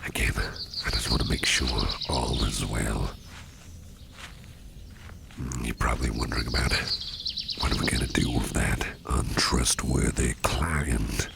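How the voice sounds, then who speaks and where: A man playing a protective, faintly sinister businessman boss in an ASMR roleplay, speaking in a soothing, unhurried, gravelly half-whisper. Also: rumbling low, steady tone, noisy background, exaggerated voice. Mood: quietly menacing. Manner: soothing, unhurried, repeats reassurances. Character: sinister yet protective businessman boss, male, smooth-talking, doting.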